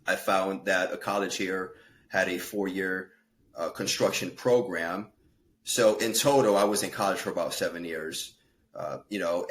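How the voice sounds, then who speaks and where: A man's voice: sits low, a bit bright. A man in his thirties, born in Peru and raised in the States, telling his story earnestly in a casual podcast interview, with fillers and self-corrections. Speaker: guest, fitness and life coach.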